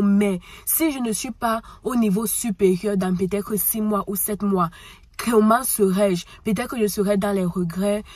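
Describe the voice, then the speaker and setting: A woman's voice: mid-range voice, darkish tone, slightly rough, no echo. A woman with a cold giving an earnest motivational talk, speaking directly to the listener and repeating her points. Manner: earnest, repetitive, addresses listener as tu. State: has a cold.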